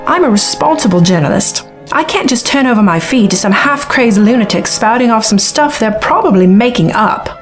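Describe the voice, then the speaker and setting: A woman's voice: on the high side, animated, normal speed, soft background music, natural voice. An actress playing a hard-bitten, sceptical woman reporter and pilot in a science fiction audio drama. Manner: matter-of-fact, sceptical.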